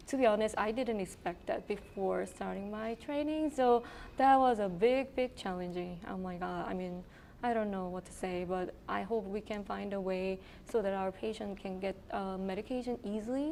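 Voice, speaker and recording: A woman from South Korea in her thirties speaks with a Korean accent at a middle pitch. She answers slowly and thoughtfully, pausing on ums and you-knows. A faint hiss of background noise sits under her voice. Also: creaky, darkish tone. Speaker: internal medicine resident. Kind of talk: interview answers.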